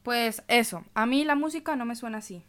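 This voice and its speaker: A woman with a distinctly high voice plays the questioner in a scripted radio exchange. She sounds curious and doubting, prodding her co-presenter. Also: brisk, a bit bright. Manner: inquisitive, sceptical.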